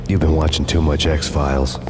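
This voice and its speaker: Very deep brooding American noir detective. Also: male voice, normal speed, background noise. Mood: wry, deadpan. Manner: deadpan, sardonic. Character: vengeful world-weary hard-boiled male detective, bitterly wry. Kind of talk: noir scripted narration.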